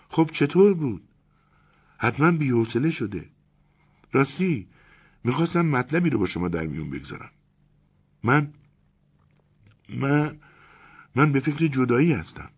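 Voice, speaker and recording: A man reading aloud and voicing a quick-tempered young wife who shrieks and complains in indignant bursts. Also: mid-range voice, animated, husky, pitched above the performer's own voice. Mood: curious. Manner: shrieking, exasperated, rapid complaints. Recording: dull lo-fi recording.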